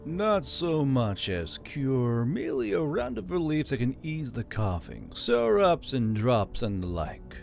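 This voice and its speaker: A man's voice: mid-range voice, sing-song, a little slow, gravelly, few pauses, faint music behind. A man playing a cheerful old elf governor. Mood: matter-of-fact. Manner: cheerful, chirpy, gently teasing. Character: older male elf governor, kindly, chatty.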